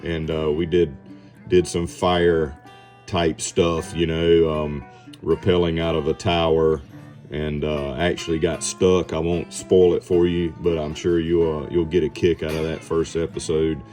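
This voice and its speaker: A man's voice: extremely low pitch, level tone, measured pace, mellow, faint music behind. A man chatting casually over amateur radio in a laid-back, folksy manner. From southeast Georgia, United States. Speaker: amateur radio operator and TV survival personality.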